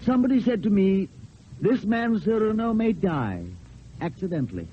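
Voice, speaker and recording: On a tinny, faintly noisy old radio recording, a courtly nobleman speaks formally. His voice sits fairly high and moves theatrically with wide, lively inflection. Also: moderate pace. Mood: grave, courtly. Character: De Guiche, courtly French nobleman, once an enemy. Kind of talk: old-time radio stage acting.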